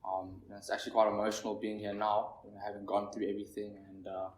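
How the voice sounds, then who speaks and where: A nervous young man in his twenties from Zimbabwe, sharing his recovery story at a meeting in a halting, rambling way. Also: on the low side, monotone. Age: 20s. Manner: halting, rambling, heavy on fillers. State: nervous, shaky. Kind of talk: personal recovery share. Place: meeting room with an audience. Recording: echoey room, distant mic, faint noise.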